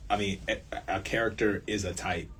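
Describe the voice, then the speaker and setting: A man's voice: on the low side, fairly even intonation, normal speed, a bit bright, soft background music. A man being interviewed in a casual chat, speaking informally with slang and repeating himself to stress his points. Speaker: radio play creator being interviewed. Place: student radio station. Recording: faint background noise.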